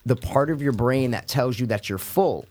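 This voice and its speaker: A man's voice: mid-range voice, moderate pace, a little mellow. Laid-back man in his thirties, swearing. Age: 30s. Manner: loose, profane storytelling, repeats "no, no, no". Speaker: podcast co-host. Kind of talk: casual podcast chat.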